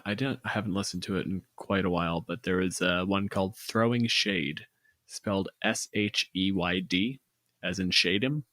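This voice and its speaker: A man with a fairly deep voice talks casually with collaborators. He is blunt and swears easily, and the sound is close and dry. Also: a bit bright, no echo. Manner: blunt, profane. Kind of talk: casual planning chat.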